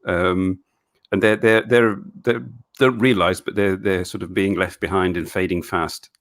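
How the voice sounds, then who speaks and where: A middle-aged Englishman hosting a casual live stream, answering viewer questions in a halting way with many restarts and frequent chuckles. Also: low voice, fairly even intonation, fairly bright tone. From England.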